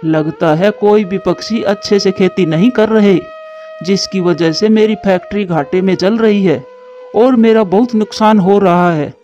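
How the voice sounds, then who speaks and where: A man's voice: high-pitched, unhurried, mellow, highs cut off, faint music behind. A man playing a worried pigeon businessman in a children's cartoon, explaining himself earnestly to a friend. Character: worried male pigeon factory owner, grateful friend. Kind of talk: Hindi cartoon voice acting.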